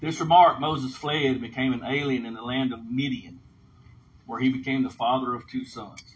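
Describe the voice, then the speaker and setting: A man's voice: medium pitch, moderate pace. A man giving an informal Bible lesson as a teacher, reading aloud and explaining in an instructive way. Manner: explanatory, often checks in with a short "okay?". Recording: dull lo-fi sound, faint background noise.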